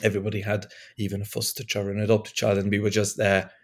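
A man from Europe, a guest on a podcast, speaking in a hesitant way with many restarts and fillers, his voice still hoarse from being ill. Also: lowish voice, completely flat delivery, quick pace. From Eastern Europe. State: hoarse, recovering from lost voice. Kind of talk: casual conversation.

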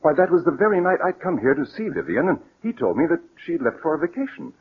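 An actor playing a nervous, scheming murderer who poses as a worried brother, stammering and repeating himself in 1940s radio drama style. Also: male voice, a little high, big pitch swings, fast talker, husky. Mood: anxious, feigned worry. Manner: hesitant, stammering, repeats words. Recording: muffled, scratchy 1940s radio broadcast.

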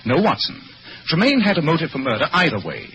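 An actor playing a shrewd, masterful gentleman detective in an old-time radio drama, speaking precisely and theatrically. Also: fairly high pitch, wildly swooping pitch, highs cut off, a little hiss or hum, full character voice. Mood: assured, commanding. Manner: brisk, commanding, theatrical, precise.

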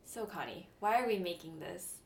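A woman's voice: lowish voice, moderate pace, a little mellow. Giggly young woman with dry asides. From Southern California. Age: young adult. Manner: chatty, self-mocking, giggly asides. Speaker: podcast co-host. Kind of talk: casual college radio podcast chat.

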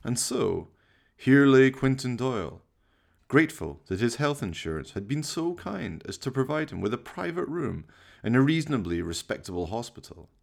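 A man playing a sinister, courtly fireside storyteller, narrating a horror radio play with slow, mock-polite, menacing theatricality. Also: medium pitch, big pitch swings, vocal fry. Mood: ominous, wry.

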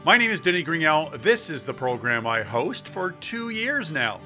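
A man hosts a local podcast with a bright, forceful voice and a wry, playful way of talking. He reads his narration from a script and also interviews guests. Soft music plays behind him. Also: fairly high pitch, sing-song, moderate pace. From Ontario, Canada. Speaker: podcast host.

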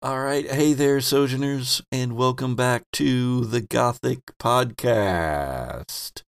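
A man's voice: medium pitch, slow pace, gravelly. A man hosting a tabletop game as game master in a casual, hesitant, playful way.

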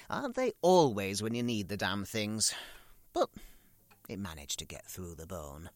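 Fussy grumbling son, swooping pitch. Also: male voice, sing-song, unhurried, no room sound. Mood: matter-of-fact. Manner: chatty, grumbling, rambling confessional asides. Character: fussy, self-pitying, darkly comic grown son living with mother. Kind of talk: dramatic monologue, audio drama.

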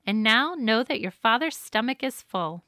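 A woman voicing a terse magical male elf in a storybook, who bargains in measured, formal riddles. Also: on the high side, animated, sharp tone, dry recording, clean studio sound. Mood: solemn, bargaining. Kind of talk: storybook character voice.

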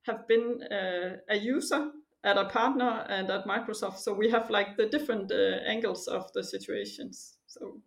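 A woman's voice: mid-range voice, steady tone, moderate pace, creaky, few pauses. A middle-aged woman from Denmark, a finance specialist, talking in a casual interview with many fillers.

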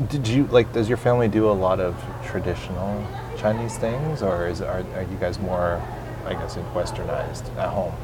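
A man hosting a casual interview, chatty and curious, following up quickly with questions. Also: deep voice. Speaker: podcast host. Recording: noisy recording.

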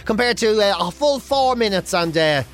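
Jokey, self-mocking Irish morning radio co-host; high, nasal and a touch lispy, faint music under him. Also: male voice, animated, moderate pace, a bit bright. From Ireland. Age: 40s. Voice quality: nasal, slightly lispy from a mouth ulcer. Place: radio studio. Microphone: studio mic.

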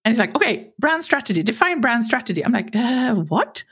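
A woman's voice: on the high side, a little fast, slightly rough. Swedish woman telling candid stories. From Sweden. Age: 40s. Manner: animated, acts out quoted lines, laughs while talking. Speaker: guest. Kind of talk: candid conversational storytelling.

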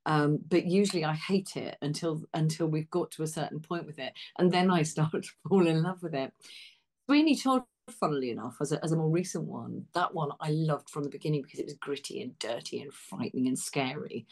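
A woman's voice: deep voice, a little fast, a little mellow. A woman talking conversationally as an interview guest and theatre director.

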